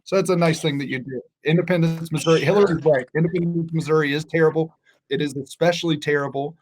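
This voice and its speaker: An American man talks casually as a podcast co-host. His voice sits a bit high, and he has a dry, mocking way of teasing. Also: steady tone, fast, darkish tone, slight background noise.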